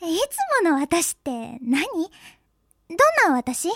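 A woman's voice: high-pitched, takes it slowly, no room sound, clean studio sound. A woman playing a pretty, self-absorbed teenage schoolgirl in a Japanese audio drama, speaking in a coy, teasing, sweetly girlish way. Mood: bitter. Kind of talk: Japanese anime-style audio drama acting. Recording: background music under the voice.

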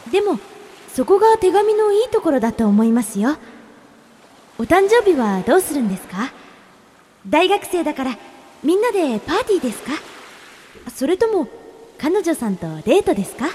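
Radio drama pen pal: actress as sweetly polite, secretive young woman, high lilting delivery, heavily reverberant. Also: sing-song, normal speed, long pauses, darkish tone, a little hiss or hum. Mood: gentle. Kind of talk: radio drama dialogue, reading letters aloud. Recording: long reverb, music behind.

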